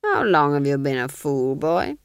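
A woman's voice: deep voice, big pitch swings, measured pace, clear, resonant tone, no room sound, clean studio sound, exaggerated voice. A woman voicing a scheming middle-aged male duke who commands with falsely friendly, silky menace. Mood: silkily coaxing.

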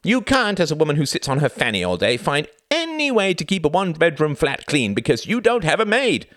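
A man's voice: mid-range voice, huge pitch swings, fairly quick, vocal fry, fairly bright tone, no echo, voice pushed up, full character voice. A man playing a sarcastic, furious young husband, ranting and condescending in acted audiobook dialogue. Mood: bitter sarcasm. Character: enraged sarcastic husband, young graduate student in a failing marriage.

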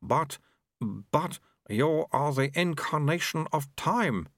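A man's voice: fairly high pitch, animated, slow pace, a bit bright, no room sound, studio quality, pitched above the performer's own voice, heavily acted. A man voicing a nervous, stammering male monastery official. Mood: flustered.

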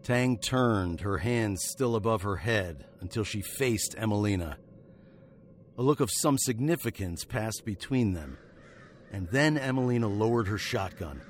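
A man's voice: lowish voice, animated, a little slow, slight background noise. An actor playing a wry fugitive western hero, narrating his tale in a literary, sardonic way for a radio drama. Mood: grave, wry.

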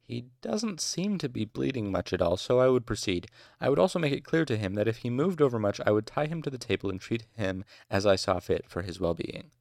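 A man voicing a brisk young woman medical student who answers crisply. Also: mid-range voice, big pitch swings, a little mellow, no echo, studio quality. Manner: crisp, matter-of-fact.

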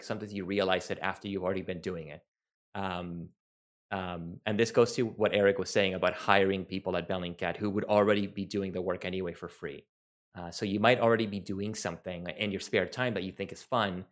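Relaxed low-voiced man from Venezuela. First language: Spanish. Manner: relaxed, rambling with fillers and restarts. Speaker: talk host and researcher. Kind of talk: casual online talk, taking questions.

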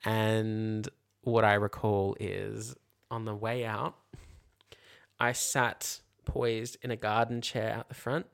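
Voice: mid-range voice, flat delivery, takes it slowly, gravelly. A podcast co-host telling casual, comic anecdotes and acting out the quoted dialogue as they go. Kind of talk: casual comic storytelling chat.

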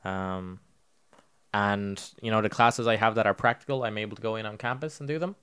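A man's voice: on the low side, steady tone, brisk, a bit bright, clear, resonant tone. A young man hosting a podcast, chatting casually with teasing, swearing banter. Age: young adult. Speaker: podcast host. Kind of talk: casual chat.

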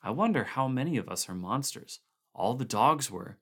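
A man voicing a frightened expedition member who suspects everyone around him, speaking in anxious, halting bursts. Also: medium pitch, expressive, breathy edge, pitched above the performer's own voice. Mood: uneasy. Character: nervous man fearing he's the last human.

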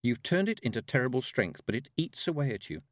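A man's voice: medium pitch, sing-song, brisk, no room sound, clean studio sound, voice pushed up, natural voice. A man voicing a plucky, clever young apprentice witch, an earnest and direct girl in a storybook reading. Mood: bold.

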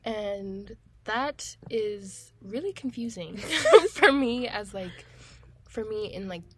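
Young woman podcast co-host, mid-pitch, lots of "like", kinda halting. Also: expressive. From Tanzania. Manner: hesitant, many fillers like "like". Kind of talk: casual chat.

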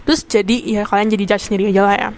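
A woman's voice: mid-range voice, level tone, racing, faint music behind. A young woman in her twenties from Jakarta with a Jakarta accent, hosting a casual solo podcast. She chats in slang, fills her talk with asides and fillers, and drops in English words. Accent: Jakarta Indonesian. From Jakarta, Indonesia. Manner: chatty slang-heavy, mixes in English words. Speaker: podcast host.

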